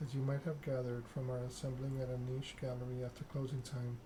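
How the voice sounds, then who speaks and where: A man's voice: mid-range voice, monotone, brisk, noisy background. A man doing an ASMR read-aloud of a narrative game, occasionally stumbling over the written text. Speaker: gaming channel host.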